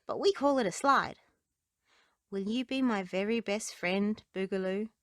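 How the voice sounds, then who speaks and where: A woman's voice: medium pitch, measured pace, fairly bright tone, no room sound, clean studio sound, natural voice. A woman voicing a friendly, curious young boy in a children's storybook.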